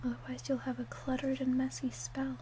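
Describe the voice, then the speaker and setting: Female ASMR roleplayer as a gentle witch shopkeeper: high, even, slow, hushed and partly whispered. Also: a little hiss or hum. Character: calm, helpful female witch selling love potions.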